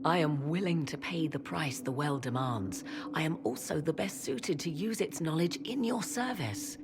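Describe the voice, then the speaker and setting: An actress voicing a proud, ambitious witch and scholar of ancient lore in a fantasy game, speaking formally and with imperious, measured persuasion. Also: sits low. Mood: haughty, insistent. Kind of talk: dramatic fantasy game dialogue. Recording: clear ambient noise behind voice.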